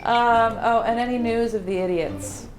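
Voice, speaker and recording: A woman's voice: fairly high pitch, moderate pace, over loud music, poor audio. A woman playing a tabletop game, chatting casually at the table.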